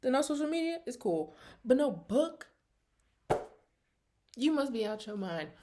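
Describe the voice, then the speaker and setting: Female, early 20s, American. Slightly high voice. Casual vlog storyteller, animated, sassy and full of slang. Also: measured pace. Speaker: vlogger. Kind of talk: casual, chatty personal vlog.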